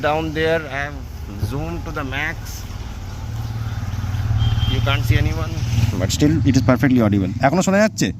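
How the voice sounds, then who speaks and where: Fast-talking Bengali Indian man demonstrating gear. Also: fairly high pitch, animated, noisy background. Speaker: vlogger presenting a product test. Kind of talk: informal hands-on product demonstration.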